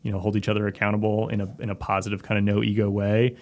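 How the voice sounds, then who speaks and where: Low, gravelly-voiced man chatting casually. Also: little pitch movement, very fast. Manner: informal, reflective, peppers talk with "like" and "kinda". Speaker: podcast guest, company CEO and former submarine officer. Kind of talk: casual interview chat.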